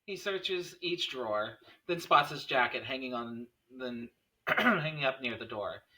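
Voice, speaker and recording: A man's voice: mid-range voice, lively intonation, a little slow, crowd noise, poor audio. A man reading screenplay stage directions as the narrator of a table read.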